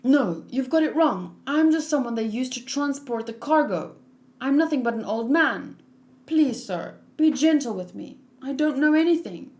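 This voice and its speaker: A woman's voice: sits high, animated, normal speed, darkish tone, voice pushed up. A woman voicing a pleading old male merchant who feigns innocence, in a meek manner.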